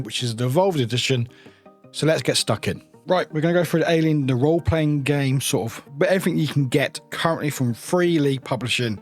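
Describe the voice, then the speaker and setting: A man with a lively, swinging intonation gives casual unboxing commentary. He is informal and chatty, dropping into short trailing asides, with faint music behind him. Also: mid-range voice. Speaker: reviewer hosting an unboxing channel.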